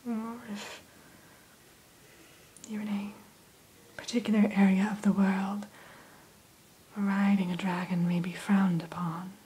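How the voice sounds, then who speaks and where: A woman whispering softly as a dragon hatchery keeper in an ASMR roleplay, gentle and a little formal, close to the mic. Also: mid-range voice, level tone, slight background noise, poor audio. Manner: soft whisper throughout; gentle, unhurried, mildly formal.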